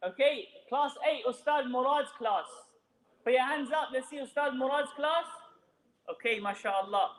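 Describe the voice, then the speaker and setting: A man with a notably high voice announces prizes to children, projecting loudly so the whole room can hear. His voice carries through an echoey mosque hall. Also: normal speed. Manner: loud, projecting over a crowd.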